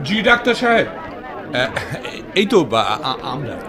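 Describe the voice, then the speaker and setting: A man's voice: high voice, very animated, moderate pace, crowd noise. An actor playing a considerate, practical male relative who talks politely and reassuringly in a Bengali radio drama.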